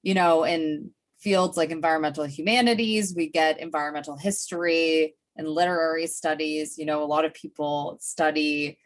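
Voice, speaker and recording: A woman's voice: sits low, fairly even intonation, moderate pace, few pauses. A woman hosting an interview, talking enthusiastically with many "you know" fillers. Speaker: podcast host. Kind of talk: interview chat.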